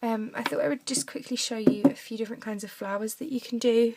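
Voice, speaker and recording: Croaky woman showing a craft. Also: on the high side, fast, heavy background noise. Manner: chatty, talks while drawing, drops to soft mutters. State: croaky from a cough. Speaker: craft tutorial maker. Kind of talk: casual craft demonstration. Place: home desk, indoors.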